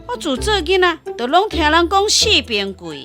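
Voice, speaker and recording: A woman's voice: very high-pitched, big pitch swings, moderate pace, over music. A woman playing a chatty, opinionated villager in a radio drama, with a Taiwanese accent and colloquial, insistent speech.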